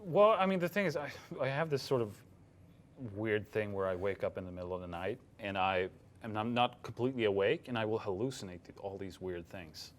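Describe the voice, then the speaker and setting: A man's voice: on the low side, brisk, a little hiss or hum. A man from Sweden with a light Swedish accent, giving hesitant interview answers full of fillers and restarts. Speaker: film director.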